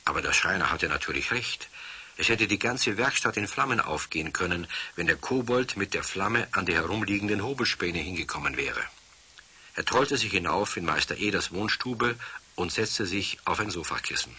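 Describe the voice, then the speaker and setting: A man's voice: low voice, lively intonation, normal speed, bright, forceful tone, husky. A man narrating a scripted children's story in a wry storytelling manner.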